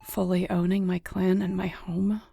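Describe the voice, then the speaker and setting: A woman playing a surly, protective werewolf alpha in an audio roleplay, with a gruff, blunt, dry manner. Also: on the low side, level tone, a little slow, mellow, no echo, full character voice. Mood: tender, wry. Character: surly young female werewolf alpha, guarded, fiercely protective.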